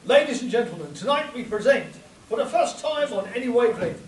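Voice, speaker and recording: Very high-pitched theatrical male announcer. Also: animated, fairly quick. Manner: theatrical, jokey. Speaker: announcer and narrator. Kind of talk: live spoof radio-show narration. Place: convention hall before a live audience. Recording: echoey distant mic, noisy room.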